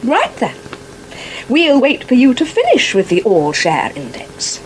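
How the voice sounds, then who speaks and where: An actress playing a sarcastic, well-to-do English wife with an upper-middle-class accent in a radio soap. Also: female voice, on the high side, wildly swooping pitch, unhurried. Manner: arch, sarcastic. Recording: slightly noisy 1980s radio broadcast.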